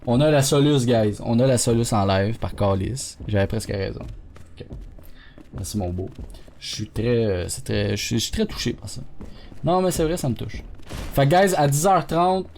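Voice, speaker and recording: A man with a Quebec French accent, an excitable streamer swearing often and talking to his chat during live gameplay commentary. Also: mid-range voice, normal speed, gravelly, slight background noise.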